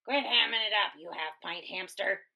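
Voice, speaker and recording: A woman's voice: mid-range voice, normal speed, gravelly, pushed and bright, lo-fi sound. A woman voicing a mean, bossy big male prairie dog with a growling, barking delivery for a storybook. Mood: gruff, bossy.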